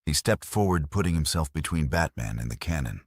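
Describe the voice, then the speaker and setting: A man's voice: extremely low pitch, expressive, brisk, no room sound, clean studio sound. A man narrating an action audio novel in a dramatic storytelling style.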